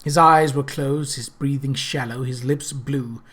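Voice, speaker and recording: Male audiobook narrator, mid-pitched, expressive and dramatic with scripted prose. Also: a little slow, husky. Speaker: audiobook reader.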